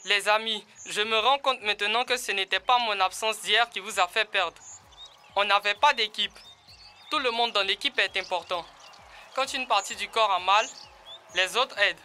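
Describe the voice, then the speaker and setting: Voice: mid-range voice, moderate pace, pushed and bright, clean tone, faint music behind, lightly acted. An actor voicing an earnest, encouraging young animal team leader in an educational children's cartoon, explaining things in a didactic way.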